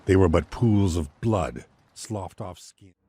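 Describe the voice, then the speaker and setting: A male voice actor playing a grave, worldly storyteller, narrating dark tales in a solemn, deliberate way. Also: low-pitched, lively intonation, husky, full character voice. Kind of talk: dramatic scripted storytelling narration.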